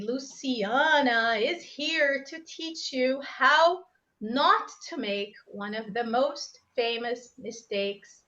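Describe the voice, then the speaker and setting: A woman's voice: on the high side, sharp tone. A woman from Brazil who is not a native English speaker, an English teacher hosting a live-streamed exam lesson and chatting directly with viewers. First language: Portuguese. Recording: slightly dull live-stream audio.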